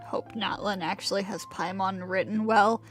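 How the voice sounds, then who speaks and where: A woman's voice: mid-range voice, fairly even intonation, moderate pace. A young adult woman chatting casually as a game streamer, rambling and hesitant, with game music behind her. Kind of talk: casual livestream chat.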